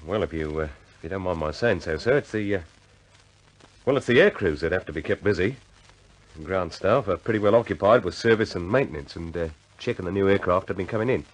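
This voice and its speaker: Very deep, hesitant air force sergeant. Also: male voice, animated, low-quality recording. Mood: hesitant, deferential. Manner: halting, stammering, apologetic. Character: deferential, hesitant RAF ground-crew sergeant. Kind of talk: radio drama acting.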